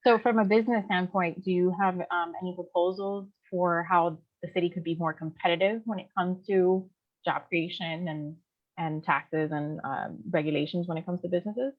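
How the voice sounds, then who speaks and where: A woman hosting a candidate interview for a business group, asking polite, measured questions. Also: fairly low pitch, steady tone. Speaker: chamber of commerce interviewer. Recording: tinny phone-like line.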